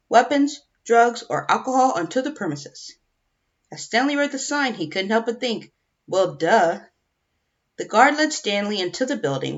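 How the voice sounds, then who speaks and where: A woman reading a children's novel aloud as scripted audiobook narration, on a lo-fi home recording. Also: medium pitch. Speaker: audiobook reader.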